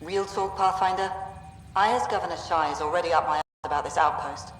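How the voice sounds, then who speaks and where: A woman's voice: on the low side, a little fast, lightly acted. An actress playing a brusque, pragmatic female colony director in a video game, blunt and businesslike. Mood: blunt, wary. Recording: echoey hall, distant, faint background noise.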